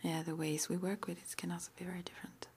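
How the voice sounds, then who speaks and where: Whispering woman with a creaky edge. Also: lowish voice, level tone, fast talker, darkish tone, a little hiss or hum. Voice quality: breathy, mostly unvoiced whisper. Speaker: ASMR roleplay performer as witch school interviewer. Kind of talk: whispered ASMR roleplay interview.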